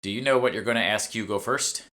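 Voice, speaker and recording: Man reading as a brusque, sardonic woman, bright-toned. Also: lowish voice, fast. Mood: impatient, knowing. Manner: curt, impatient, know-it-all. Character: brusque, sardonic, bossy female guild fixer.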